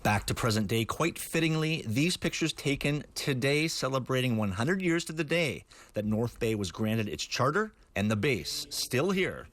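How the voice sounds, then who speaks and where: A man's voice: medium pitch, lively intonation, normal speed, few pauses, fairly bright tone. A man delivering scripted news narration as a reporter.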